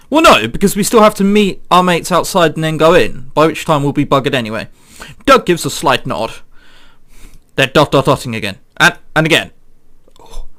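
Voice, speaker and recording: A man commentating on a game and reading its text aloud in a casual, joking and animated way. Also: a little high. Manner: animated, joking, frequent swearing and exclamations. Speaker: let's play host.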